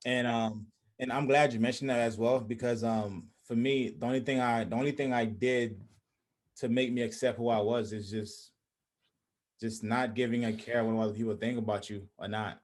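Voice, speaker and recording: A man's voice: medium pitch, steady tone, moderate pace. Young man talking reflectively on a call. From Haiti. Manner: reflective, many restarts and fillers. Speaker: host. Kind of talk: casual conversation on a video call. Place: small indoor room. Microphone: video call webcam.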